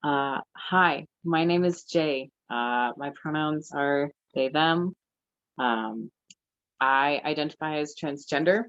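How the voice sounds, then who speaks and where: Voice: mid-range voice, takes it slowly, fairly bright tone, clear, resonant tone, no echo, dull audio. A nineteen-year-old panelist telling their own story in an earnest, chatty way, full of fillers. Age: teens. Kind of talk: casual personal storytelling. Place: library event room.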